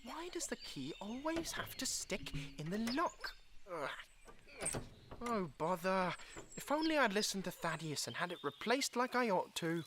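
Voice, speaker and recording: A man acts out a courteous little marten from a storybook audio drama. His delivery swings up and down in pitch, earnest and prone to stammering when flustered. There is some noise behind the voice. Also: big pitch swings, unhurried. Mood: exasperated. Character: polite, kindly little male marten, earnest and easily flustered.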